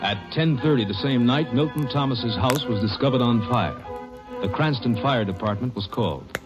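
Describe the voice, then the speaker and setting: A man's voice: mid-range voice, normal speed. A man narrating a 1940s radio crime drama in a terse, matter-of-fact way. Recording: dull old radio broadcast with music.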